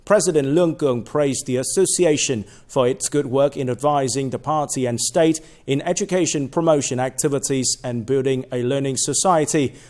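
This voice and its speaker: A man reading scripted news in a formal, measured way as a presenter. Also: medium pitch, darkish tone, no echo.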